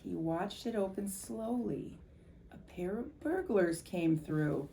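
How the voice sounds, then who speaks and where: A woman with a medium-pitched voice reads a children's storybook aloud. Her narration is expressive and animated, with emphasis on key words. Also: slow pace, gravelly, noisy background. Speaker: volunteer story reader.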